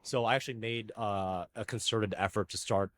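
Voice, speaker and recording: Man, Filipino, emphatic and excited, low-pitched voice. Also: moderate pace. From United States. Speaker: tennis coach and channel host. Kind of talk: casual chat.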